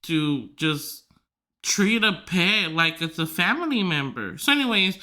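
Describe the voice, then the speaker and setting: A man hosting a podcast who tells rambling stories loudly, swearing freely and filling his talk with "like". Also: sits high, animated, a little slow. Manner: loud, swears freely, filler-heavy rambling. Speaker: podcast host. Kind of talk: casual storytelling chat.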